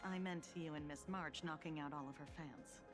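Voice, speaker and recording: A woman as a low-voiced, formal female officer. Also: natural voice. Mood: composed, explanatory. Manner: formal, measured, authoritative. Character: composed, dutiful young female law-enforcement officer. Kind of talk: game dialogue voice acting. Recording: music behind the voice.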